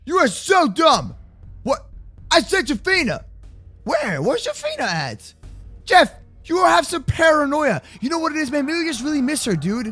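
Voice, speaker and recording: A young man with a very high, bright voice hosts a gaming roleplay. His pitch swings widely as he exclaims and repeats words in slangy, excitable bursts. Faint music plays behind him. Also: moderate pace. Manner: slangy, addresses partner as bro and man. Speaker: gaming video host and roleplayer. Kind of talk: improvised Minecraft roleplay commentary for kids.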